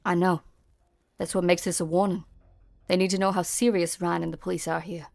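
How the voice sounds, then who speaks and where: A curt police officer snaps with sarcasm in a mid-pitched voice full of gravelly fry, voiced by a woman. She sounds impatient and hard to move. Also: flat delivery, brisk, a little mellow. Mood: stern.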